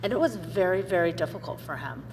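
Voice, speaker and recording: A woman's voice: lowish voice, animated, quick pace, darkish tone. A woman chatting casually as the co-host of a talk show. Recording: echoey hall, noisy background.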